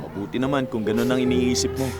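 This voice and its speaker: Actor as supportive young Filipino buddy, loud music. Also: male voice, medium pitch, fairly even intonation, quick pace, lightly acted. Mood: consoling. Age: young adult. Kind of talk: Tagalog radio drama acting.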